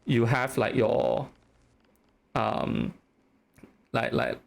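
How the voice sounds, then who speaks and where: A man's voice: medium pitch, sing-song, extremely slow, creaky, faint music behind. A man from Singapore with a Singaporean English accent, chatting casually on a live stream, teasing, reading chat aloud and laughing often.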